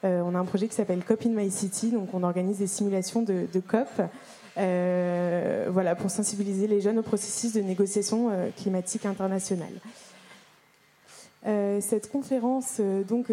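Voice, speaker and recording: A woman's voice: mid-range voice, fairly even intonation, moderate pace, dark tone. A young woman moderating a conference, speaking casually through a handheld mic with many fillers and restarts. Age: young adult.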